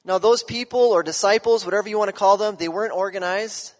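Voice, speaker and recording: Man, pastor, high voice, conversational sermon, long hall reverb. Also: quick pace. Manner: conversational, checks in with listeners.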